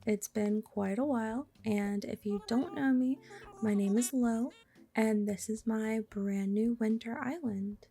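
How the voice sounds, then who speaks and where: A young woman talks through a cozy game in a relaxed, mellow voice. Her tone is raspy and a bit hoarse because she is under the weather, with a gravelly fry at phrase ends. She chats on softly and now and then drops to a whisper. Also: on the high side, measured pace. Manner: soft-spoken, chatty, trails into whispers. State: slightly hoarse from a cold. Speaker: gaming vlogger. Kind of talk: casual gameplay commentary.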